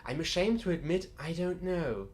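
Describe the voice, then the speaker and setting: Man playing a lively, wry museum curator. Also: a little high, moderate pace, lightly acted. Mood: matter-of-fact. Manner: chatty, dryly joking storyteller. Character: genial, wry museum curator with macabre humour. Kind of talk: audiobook drama acting.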